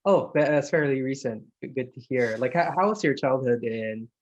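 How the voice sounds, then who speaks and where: A man's voice: medium pitch, normal speed, muffled recording. A man hosting an interview show, introducing the guest in an upbeat, promotional way. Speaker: podcast host.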